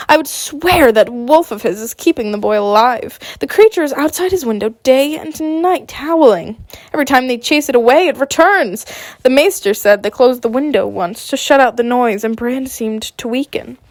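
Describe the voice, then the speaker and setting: A woman's voice: a little high, animated, moderate pace, a little mellow, no echo, lightly acted. A sick woman voicing a wry, mocking male dwarf noble in an amateur audiobook, with a dry delivery.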